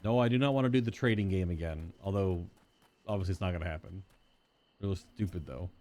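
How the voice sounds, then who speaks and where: A man's voice: deep voice, brisk, a little mellow, soft background music. A man streaming a game playthrough, reading quest titles and making dry, offhand remarks. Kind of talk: casual playthrough commentary.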